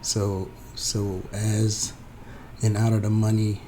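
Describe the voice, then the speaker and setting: Hoarse man with a low flat voice. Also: slight background noise. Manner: halting, many fillers and restarts. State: hoarse from a head cold. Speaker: trading tutorial presenter. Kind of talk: unscripted screen-share explanation.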